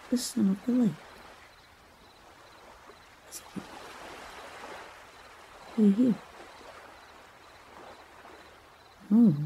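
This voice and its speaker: A woman from Mexico voicing a gentle, romantic young princess in an ASMR roleplay, soft and breathy, with a hesitant, earnest manner. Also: medium pitch, slight background noise. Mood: hushed wonder. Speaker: amateur voice actor.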